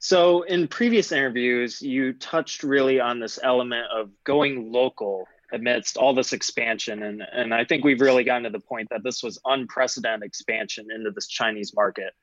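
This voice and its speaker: A young man, guest co-host of a podcast, asking polite and measured questions. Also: mid-range voice, fairly bright tone, dry recording, muffled recording.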